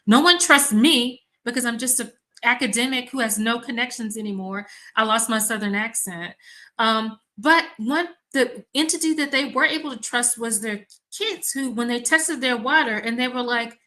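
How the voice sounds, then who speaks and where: Woman academic from Mississippi, bright and forceful, lively conversational storyteller. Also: on the high side, moderate pace. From Mississippi, USA. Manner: animated storyteller, acts out exchanges. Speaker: academic researcher. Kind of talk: conversational panel discussion.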